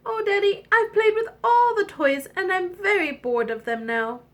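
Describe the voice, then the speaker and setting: A woman's voice: very high voice, expressive, normal speed, pitched above the performer's own voice. A woman voicing a spoiled, emotional young storybook princess with whiny, dramatic exclamations. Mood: bored, whiny.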